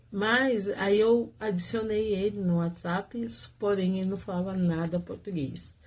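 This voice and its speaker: A woman tells her own story to her viewers, chatty and lively, breaking into laughter now and then. Her voice sits at a middle pitch with a soft, mellow tone. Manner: chatty, animated, laughs often, addresses viewers. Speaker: vlogger telling her love story.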